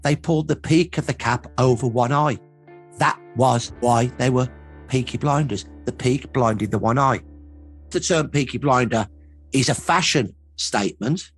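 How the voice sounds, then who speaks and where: A man's voice: mid-range voice, a little slow, breathy edge. An older man with a Birmingham accent, explaining history emphatically as an expert in a documentary interview. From Birmingham, England. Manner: emphatic, storytelling, repeats points for weight. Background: background music.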